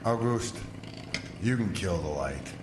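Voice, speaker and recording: An actor playing a terse, sardonic chief lighthouse keeper in an old-time radio horror drama. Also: male voice, low voice, expressive, takes it slowly, background noise. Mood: stunned. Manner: terse, authoritative. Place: college stage before a live audience. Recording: echoey live stage with loud music.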